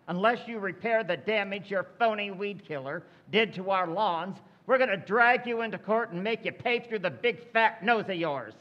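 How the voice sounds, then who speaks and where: An actor playing an angry neighbour who threatens to sue, in a recreated old-time radio comedy. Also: male voice, high-pitched, moderate pace, hardly any pauses, muffled recording, lightly acted. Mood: indignant. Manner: stern, indignant.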